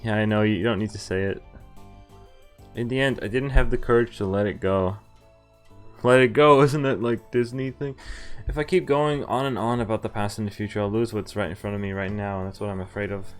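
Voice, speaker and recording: Male game streamer, lowish voice, casual read-aloud narration mixed with off-the-cuff reactions, game music behind. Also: fairly even intonation, a little fast. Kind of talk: visual novel let's play, reading text aloud with casual commentary.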